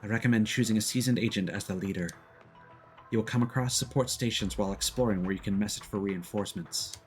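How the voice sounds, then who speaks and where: A male game streamer with a fairly low voice. He reads game text aloud and adds live commentary as he plays. Faint music runs behind him. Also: fast talker.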